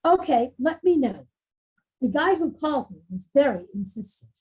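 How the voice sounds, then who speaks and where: A woman playing an exasperated, defensive school principal in a table read, questioning forcefully. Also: high voice, big pitch swings, normal speed. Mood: resigned, uneasy. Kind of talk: screenplay table read acting.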